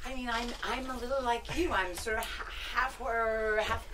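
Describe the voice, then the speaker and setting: A middle-aged woman speaking casually as an interview guest, in halting, hesitant phrases, on a headset mic. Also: a little high, steady tone, bright, forceful tone, slight background noise. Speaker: screenwriter guest.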